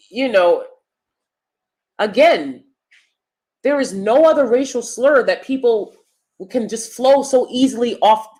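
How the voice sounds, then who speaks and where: A woman with a loud, projecting stage voice, giving emphatic opinion commentary as a vlogger and swinging between loud points and soft asides. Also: fairly high pitch, low-quality recording.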